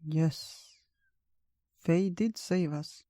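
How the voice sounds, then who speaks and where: An unhurried, wise old male bear from a storybook, acted out by a narrator, welcoming guests and telling tales with theatrical, drawn-out phrases. The sound is dry and gentle. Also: mid-range voice, no echo. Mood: solemn, reminiscing. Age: older.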